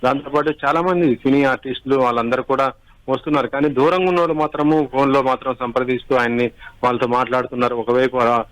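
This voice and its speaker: A man giving a live unscripted news report, halting and repeating himself as he goes. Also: medium pitch, steady tone, rough recording. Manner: halting, repetitive, restarts words.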